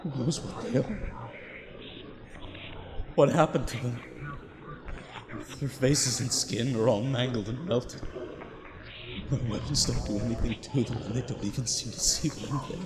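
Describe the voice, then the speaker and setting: An actor playing a weary, terrified explorer losing his mind, recording a halting log in an audio drama. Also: mid-range voice, crowd noise, lightly acted. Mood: horrified. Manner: halting, haunted, breaks into whispers. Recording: noisy, echoing cavernous reverb.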